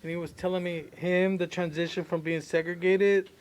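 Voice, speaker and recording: Higher-voiced, storytelling podcast man. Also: flat delivery, normal speed, a little hiss or hum, poor audio. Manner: storytelling, profane, questioning. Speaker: podcast co-host. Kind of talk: casual chat.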